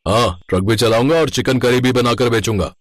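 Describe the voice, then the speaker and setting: A man plays an honest truck driver turned cook in a story cartoon. He sounds gruff and plain-spoken, with middling pitch and a dry, close recording. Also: fairly quick, no echo, close to an everyday voice. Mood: firm. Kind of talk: animated moral story dialogue.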